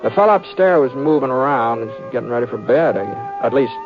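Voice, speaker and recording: A man's voice: medium pitch, animated, fast, lightly acted. A man with an American accent playing a mild, hesitant, fair-minded cowboy hero in a folksy, stammering drawl, in Western radio drama style. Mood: folksy storytelling. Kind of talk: 1950s Western radio drama acting and narration. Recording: dull vintage radio recording with music.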